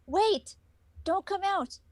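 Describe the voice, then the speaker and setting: A woman's voice: extremely high pitch, expressive, slow pace, darkish tone, exaggerated voice. A woman playing a frantic, bossy woman in a comic hobby radio play. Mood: frantic, insistent.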